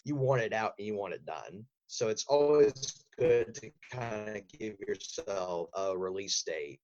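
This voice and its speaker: A man chatting casually as an interview guest, telling rambling, animated stories. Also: fairly low pitch, no echo. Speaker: voice actor, audio drama creator being interviewed. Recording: dry, slightly muffled close recording.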